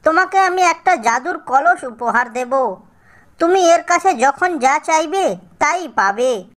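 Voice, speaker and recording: A woman's voice: sits high, animated, takes it slowly. A woman voicing a kindly, reassuring rakshasa monster in a cartoon fairy tale. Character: kindly rakshasa monster comforting a crying little bird.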